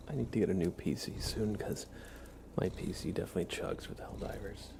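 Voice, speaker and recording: A man softly whispering as an ASMR livestreamer, chatting affectionately as if to a pet. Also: sits low, fairly quick, creaky, background noise. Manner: affectionate baby-talk to a pet cat.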